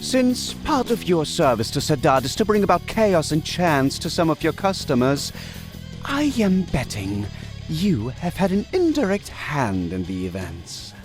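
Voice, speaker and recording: An actor playing an enigmatic, knowing god of deception in a fantasy audio drama, speaking in a measured, formal, cryptic way. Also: male voice, a little high, wildly swooping pitch. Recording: music bed under the voice.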